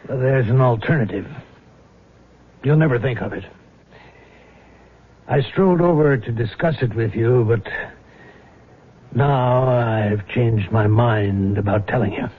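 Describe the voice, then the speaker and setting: An actor playing a middle-aged, self-deprecating rich husband in a radio drama, hesitant, courteous and cryptic, with a husky voice. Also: male voice, medium pitch, unhurried, long pauses. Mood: cryptic, brooding. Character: troubled wealthy betrayed husband, secretive and self-deprecating godfather. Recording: muffled old radio drama recording.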